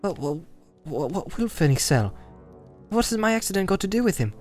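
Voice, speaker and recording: Guy putting on a small male hobbit, higher voice, testy n wary, storybook reading w/ faint music. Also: sing-song, brisk. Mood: anxious, stubborn. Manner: polite but testy, questioning.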